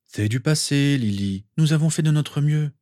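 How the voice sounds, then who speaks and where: A man's voice: a little high, normal speed, mellow, voice pushed up, close to an everyday voice. Man playing a firm, stern father figure. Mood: consoling. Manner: firm, lecturing. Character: stern, protective father and demanding teacher.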